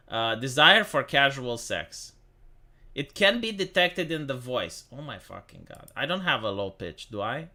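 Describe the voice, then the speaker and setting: A man's voice: mid-range voice, pushed and bright. A young man from Romania hosting a casual livestream, chatting with his chat and reading articles aloud, with many restarts and the odd swear. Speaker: livestream host.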